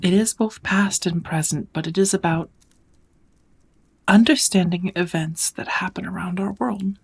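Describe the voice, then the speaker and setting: A woman playing a kind, patient witch teacher in an ASMR roleplay, speaking gently in measured, encouraging phrases that often slip into whispers. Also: fairly low pitch, fairly even intonation, full character voice. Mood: gentle, instructive. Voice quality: soft, breathy. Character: kind, patient witch mentoring a dear apprentice. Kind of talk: ASMR roleplay, second-person scripted teaching.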